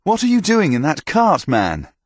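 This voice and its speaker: A man voicing a boisterous, commanding older knight with a booming voice in an audiobook. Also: huge pitch swings, moderate pace, no echo. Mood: hearty. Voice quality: booming, laughing barks. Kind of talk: audiobook character voice.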